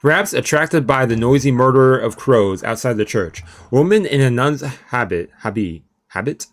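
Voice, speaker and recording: A man's voice: medium pitch, expressive, normal speed. Casual man streaming a visual novel read-aloud. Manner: casual asides, stumbles over long words. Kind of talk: let's play commentary and read-aloud narration. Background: video game music.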